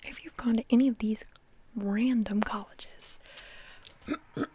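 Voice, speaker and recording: A woman's voice: fairly high pitch, slow pace, mellow, a little hiss or hum. A young woman whispering close to the mic in a playful, chatty ASMR sleep talk, often repeating words. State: dry mouth. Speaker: ASMR host.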